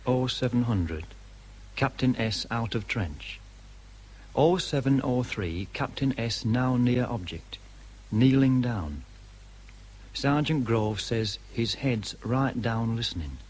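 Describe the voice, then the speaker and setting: An actor playing an army shorthand writer who reports timed entries impersonally in clipped military phrases, with faint noise behind, in a radio drama. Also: male voice, medium pitch, expressive, slow pace, a little mellow. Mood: impersonal, methodical.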